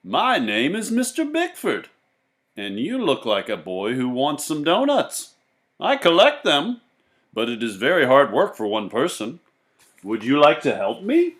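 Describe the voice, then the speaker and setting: Storybook donut collector, played by a man: friendly, hearty, pitched up high, swooping and emphatic. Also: wildly swooping pitch, measured pace, a bit bright, exaggerated voice. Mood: hearty, inviting. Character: eager, friendly male donut collector with a wagon.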